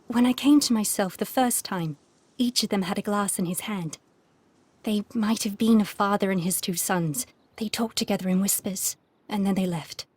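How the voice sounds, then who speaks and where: A breathy young noblewoman, as voiced by an actress in a video game, soft and halting. Her voice is airy and close, with no room sound around it. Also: mid-range voice, no echo, lightly acted. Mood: hushed, shaken. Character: shaken young noblewoman, gentle and distressed.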